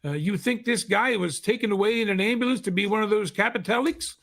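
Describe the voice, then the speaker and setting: A man's voice: sits high, fast talker, gravelly, fairly bright tone. A man playing an evasive, nervous shopkeeper in a vintage radio drama, curt and stammering with streetwise speech. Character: evasive, nervous, defensive male secondhand clothes dealer.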